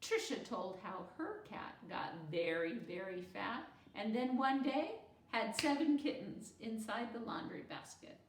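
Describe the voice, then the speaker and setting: A woman reading a children's book aloud as a storyteller, in an animated, expressive manner. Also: a little high, unhurried, hardly any pauses, echoey room, distant mic, slight background noise. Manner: animated, acts out character dialogue.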